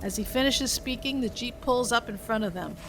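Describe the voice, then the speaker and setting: A woman's voice: fairly high pitch, normal speed, over music, rough recording. A woman reading a scripted story aloud as its narrator. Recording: faint background noise.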